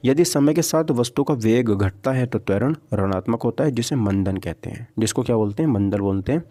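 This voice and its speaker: A man's voice: mid-range voice, lively intonation, fairly quick, dark tone. A man teaching an exam-prep physics lesson step by step, asking questions, answering them himself and repeating key points.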